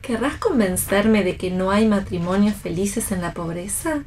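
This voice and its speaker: A woman's voice: mid-range voice, expressive, unhurried, darkish tone, slight background noise, lightly acted. A woman acting a romantic, devoted wife in a radio play, with a formal, poetic way of speaking. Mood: earnest idealism. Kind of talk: staged radio play reading.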